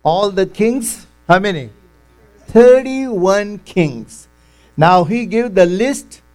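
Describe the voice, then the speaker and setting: A man preaching a sermon, teaching slowly and deliberately and acting out quotes. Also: high-pitched, lively intonation, a little mellow.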